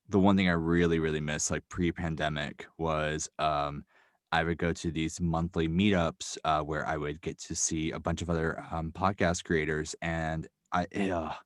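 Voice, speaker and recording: A man's voice: extremely low pitch, no room sound, clean studio sound. A young man, a writer and podcast creator, talking earnestly in a casual podcast interview, with plenty of ums and likes. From New York.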